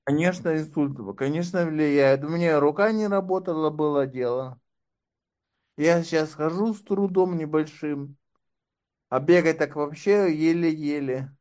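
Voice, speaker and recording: A man's voice: fairly high pitch, unhurried, darkish tone. A man speaking as an interview guest and doctoral candidate, talking in a wry, sardonic way. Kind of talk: casual conversation.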